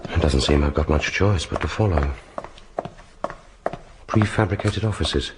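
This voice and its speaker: An actor in a radio drama playing a cool, wry British secret agent with a dry, understated delivery. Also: male voice, very deep voice, measured pace. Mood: wary, observant. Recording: background noise behind the voice.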